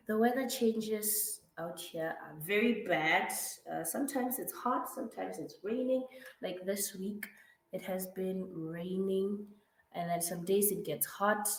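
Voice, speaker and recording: A woman with a hoarse, raspy voice from the flu, patiently explaining grammar to a student in a one-to-one lesson and checking in often. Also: mid-range voice, slow, roomy sound, poor audio. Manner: patient explaining with frequent checks like "right?" and "mm-hmm". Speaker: English tutor.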